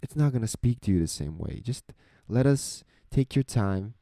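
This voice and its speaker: A young man with a soft, soothing voice, talking slowly and reflectively into a close microphone in a solo podcast. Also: lowish voice, expressive, dry recording. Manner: slow, introspective, rambling. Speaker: podcast host.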